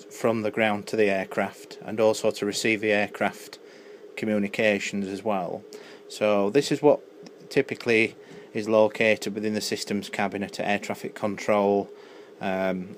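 A man giving an unscripted, matter-of-fact technical demonstration as a repair technician at a workbench. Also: fairly low pitch. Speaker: electronics repair technician presenting. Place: indoor electronics workbench. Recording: noisy handheld camera audio in workshop. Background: clear background noise.

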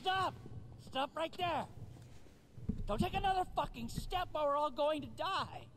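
An actor playing a frantic, paranoid stoner on an acid trip in a video game, pleading and spaced-out. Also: male voice, very high voice, expressive, a little slow, fairly bright tone, slight background noise, full character voice. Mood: panicked. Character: panicked, paranoid male stoner tripping on acid.

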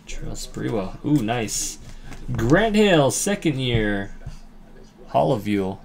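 Slow-talking man opening cards. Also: mid-range voice, creaky. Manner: reads names aloud, animated bursts of excitement, chats with viewers. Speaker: card break host. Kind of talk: casual live card-opening commentary. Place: indoor room, hands over a table of card boxes.

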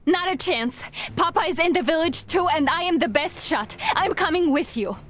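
A woman's voice: very high-pitched, expressive, normal speed, fairly bright tone. A woman playing a spirited, stubborn young sharpshooter in a video game, earnest and determined. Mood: urgent, determined. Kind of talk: cinematic video game acting. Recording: music under the voice.

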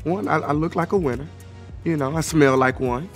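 A man's voice: on the high side, normal speed, faint music behind. A man who is a drag queen contestant, talking casually in a promotional interview.

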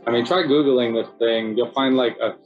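A man's voice: medium pitch, moderate pace, narrow, tinny audio, soft background music. Laid-back man explaining cave life. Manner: relaxed, chatty, many fillers like "so yeah" and "um". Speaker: city cave biologist presenting. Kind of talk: casual narrated slideshow talk. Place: indoors at home, video call.

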